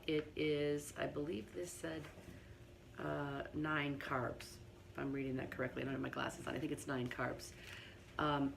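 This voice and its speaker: Hoarse middle-aged woman, low-pitched, speaking softly in her kitchen with a faint hiss behind. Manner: quiet, partly whispered speech. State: hoarse from laryngitis. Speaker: home cooking host. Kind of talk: casual cooking demonstration. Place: home kitchen.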